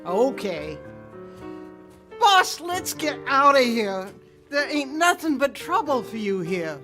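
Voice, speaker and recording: A man's voice: extremely high pitch, big pitch swings, takes it slowly, sharp tone, full character voice. A man playing a faithful, anxious cafe pianist in a 1940s radio play. He is folksy, coaxing and hesitant, with prominent music behind him. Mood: worried. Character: loyal, worried cafe piano player, a man. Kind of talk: 1940s radio drama acting.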